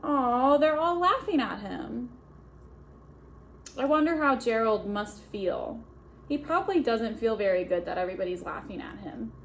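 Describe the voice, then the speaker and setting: A woman's voice: a little high, animated, normal speed, a little hiss or hum. A woman reading a children's picture book aloud as a teacher, expressively and with simple asides to young listeners.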